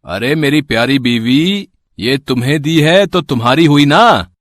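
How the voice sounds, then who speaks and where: A man's voice: medium pitch, expressive, unhurried, fairly bright tone, dry recording. Man acting an earnest, poor husband, dry sound. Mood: playful, affectionate. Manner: earnest, tender, pleading. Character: loving, poor husband and worried auto driver. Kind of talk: acted dialogue in a Hindi moral story.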